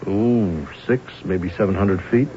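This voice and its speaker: A man's voice: low-pitched, unhurried, dark tone. An actor playing an affable, hesitant pilot and eyewitness in a 1970s radio drama, stammering and repeating his words. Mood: hesitant, recalling. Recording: dull, hissy vintage radio broadcast.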